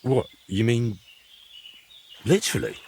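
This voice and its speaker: An expressive, plain-spoken medieval young man. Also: mid-range voice, unhurried, slight background noise. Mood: concerned, curious. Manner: hesitant, frank, good-natured teasing. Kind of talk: dramatic dialogue in a cinematic historical game.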